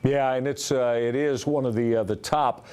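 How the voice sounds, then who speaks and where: Male American sports host, mid-pitched, wordy and conversational, circling into long halting questions. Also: fairly even intonation, darkish tone, clean tone. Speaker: sports show host interviewing a guest.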